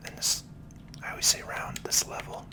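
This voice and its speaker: A person giving gentle, unhurried ASMR game commentary entirely in a breathy whisper. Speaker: gaming video host.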